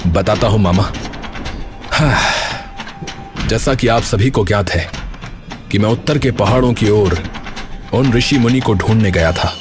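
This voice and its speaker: A man playing a devoted, resolute king in an animated story, speaking formally and earnestly over music. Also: lowish voice, unhurried, pauses often, natural voice. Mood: explaining. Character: devoted, resolute exiled king returning unrecognised to his court.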